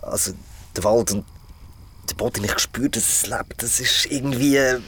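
A woman's voice: very deep voice, normal speed, slight background noise. An actress playing a squeamish young woman at a campfire in an audio drama, slangy and exclamatory with a Swiss German accent. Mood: uneasy. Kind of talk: audio drama acting, casual chat.

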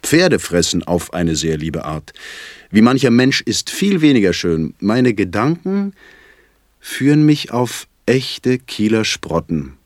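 A self-ironic poet with a low voice, recorded close and dry. He muses aloud in a theatrical literary recitation, deliberate and articulate, with wry relish for small things. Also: sing-song, dry recording. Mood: savouring, chatty.

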